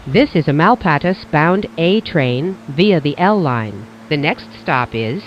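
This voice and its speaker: Lively-pitched transit announcer, dull lo-fi sound. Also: a little hiss or hum. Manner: formulaic, measured station-announcement delivery. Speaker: train announcer. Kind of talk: scripted automated transit announcements.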